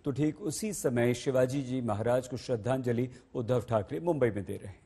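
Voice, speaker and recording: A man's voice: mid-range voice, moderate pace, warm tone, breathy edge. A man anchoring live television news, introducing reports and putting questions to reporters.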